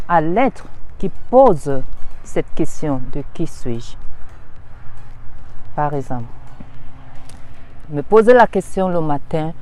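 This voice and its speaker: Slow, musing woman spiritual teacher. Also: sits low, warm tone. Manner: slow, musing, repeats rhetorical questions. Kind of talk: reflective spiritual talk to camera.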